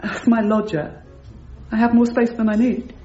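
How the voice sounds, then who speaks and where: A woman's voice: on the high side, flat delivery, normal speed, warm tone, highs cut off, soft background music, close to an everyday voice. An actress playing a prim, composed married landlady, speaking articulately and insistently in a radio drama. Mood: tentative, inviting. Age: 30s. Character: prim, probing married landlady in her thirties.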